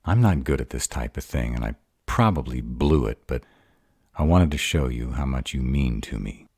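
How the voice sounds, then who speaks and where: Man acting a very deep, grumpy, insecure were-dragon. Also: lively intonation, normal speed, a little mellow, slightly rough, dry recording, clean studio sound, heavily acted. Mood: anxious, tender. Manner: hesitant, defensive, self-doubting. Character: grumpy, insecure male were-dragon nervously courting his mate. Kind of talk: romantic roleplay audio drama.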